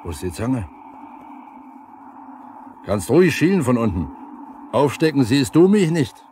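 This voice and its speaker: An actor playing a stout, weary, stubborn older brigade leader with gruff colloquial speech in a 1970s East German radio drama. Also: male voice, medium pitch, sing-song, a little slow, warm tone. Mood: exhausted, stubborn. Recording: noisy 1970s vinyl radio play.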